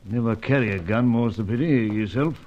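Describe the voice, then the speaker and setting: An actor playing an elderly, genteel British knight in a radio adventure serial, droll, fussy and given to hesitant musing. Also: male voice, fairly quick, close to an everyday voice. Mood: dry, uneasy. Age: older. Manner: droll, ruminative, hesitant, old-fashioned. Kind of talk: old-time radio adventure serial acting. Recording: dull, noisy, rumbling lo-fi audio.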